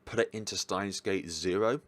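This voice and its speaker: A man's voice: deep voice, normal speed. A man hosting a casual, chatty ranking of games, rambling and correcting himself as he goes, with an enthusiastic, hesitant manner. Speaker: host reviewing visual novels.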